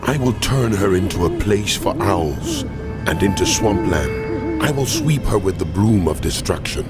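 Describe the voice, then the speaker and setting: An actor playing God as a mighty, wrathful lord, solemn and deliberate, in a dramatized scripture recording. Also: male voice, deep voice, lively intonation. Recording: loud music under the voice.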